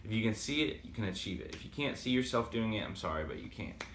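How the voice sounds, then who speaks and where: A man's voice: lowish voice, expressive, fast talker, a bit bright, echoey room, a little hiss or hum. A man with a slight Boston accent talking casually and rambling with many fillers in a motivational vlog. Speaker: vlogger. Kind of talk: casual motivational talk to camera.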